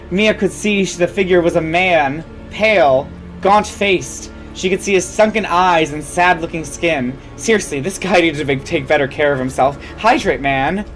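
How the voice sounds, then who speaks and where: A host performs a comedic story reading in a mid-pitched voice. The delivery is theatrical, with asides and self-corrections. Music plays softly underneath. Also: low-quality recording. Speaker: fan fiction reader and host.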